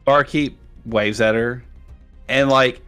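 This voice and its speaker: Casual, halting male game master. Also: mid-range voice, steady tone, fairly bright tone, clear, resonant tone, faint music behind. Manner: halting, vivid, swears casually. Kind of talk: casual tabletop game narration.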